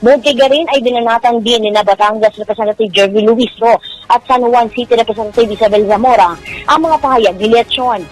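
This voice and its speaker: A male radio reporter giving a brisk, formal news report that ends with a sign-off tagline. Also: extremely high pitch, faint music behind, rough recording. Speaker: field reporter.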